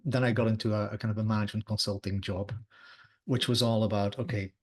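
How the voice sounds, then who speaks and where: A man in his forties, a technology advisor chatting casually in an interview, relaxed with lots of fillers. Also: on the low side, breathy edge. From Belgium.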